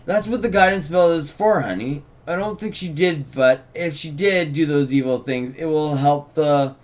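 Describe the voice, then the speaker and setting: A man's voice: on the high side, moderate pace, natural voice. A man playing a wry, doting old-soul witch. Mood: earnest, curious.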